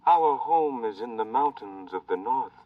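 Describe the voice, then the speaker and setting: A man playing a weary, thin Martian exile in an old radio drama, speaking formally and haltingly in a language not his own. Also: mid-range voice, lively intonation, lightly acted. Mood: solemn, mournful. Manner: slow, formal, halting speech in a learned tongue. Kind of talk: mid-century American radio drama acting. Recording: muffled old radio recording, distant, echoing.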